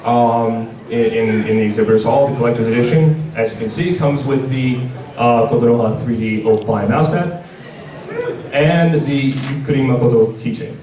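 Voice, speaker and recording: A man's mid-pitched voice comes from a distance in a roomy hall, with a clearly audible crowd. He presents informally as a panel host, in a casual, wry and joking way. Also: steady tone, moderate pace. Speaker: company presenter hosting a convention panel. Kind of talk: informal product presentation to an audience. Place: large indoor convention panel room. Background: audience crowd.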